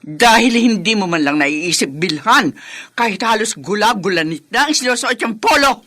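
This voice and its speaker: A woman's voice: mid-range voice, lively intonation, normal speed, a bit bright. An actress playing a bitter, scornful older Filipino mother-in-law in a radio drama, with a sarcastic, scolding manner. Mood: angry, accusing.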